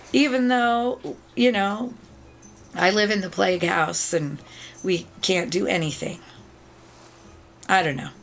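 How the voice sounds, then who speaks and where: A middle-aged woman hosting a video game channel, chatting casually to viewers with a raspy, sore throat after being ill. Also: mid-range voice, a bit bright. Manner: chatty, upbeat, thanks viewers often.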